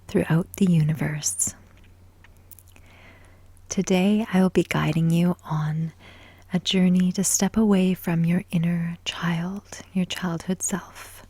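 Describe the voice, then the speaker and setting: A woman leads a guided meditation in a soft, whispery ASMR voice. Her tone is dark and mellow, barely rising or falling. She moves in short, soothing phrases with long gaps, recorded close and dry. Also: fairly low pitch, husky, no room sound. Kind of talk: slow guided sleep hypnosis, ASMR.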